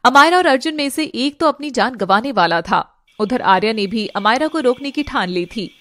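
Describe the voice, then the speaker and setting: A woman's voice: fairly high pitch, animated, moderate pace, fairly bright tone. A woman giving dramatic scripted narration of a fantasy tale for a cartoon. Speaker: storyteller.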